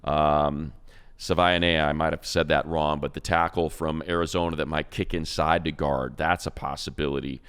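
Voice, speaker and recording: Very deep-voiced, smooth-toned man. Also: moderate pace. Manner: animated, rambling, stacks up names with restarts. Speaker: co-host, team radio play-by-play announcer. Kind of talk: casual football draft analysis chat.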